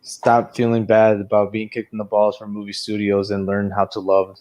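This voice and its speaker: A man's voice: on the low side, monotone, normal speed, darkish tone, lo-fi sound. A man in casual conversation as a podcast co-host, talking in a joking, emphatic way. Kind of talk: casual chat.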